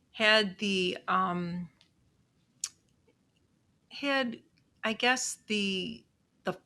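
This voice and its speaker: Slow, soft-spoken Chicago woman in her sixties. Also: mid-range voice. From Chicago, USA. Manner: soft-spoken, measured, with many pauses and "um"s. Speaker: legal assistant and podcast guest. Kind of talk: casual interview chat.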